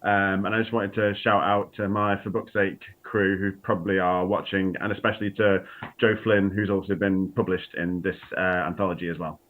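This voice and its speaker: A man's voice: low voice, flat delivery, moderate pace. A man with a cold and a slightly froggy voice, chatting casually and self-deprecatingly before reading his story. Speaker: author reading own work. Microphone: video call. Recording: tinny phone-like line.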